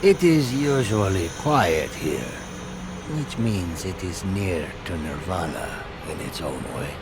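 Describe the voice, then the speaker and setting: A man playing a gentle, serene hermit monk in a video game cutscene, speaking in a measured, formal, musing way. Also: low-pitched, lively intonation, very noisy, heavily acted. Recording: loud music behind the voice.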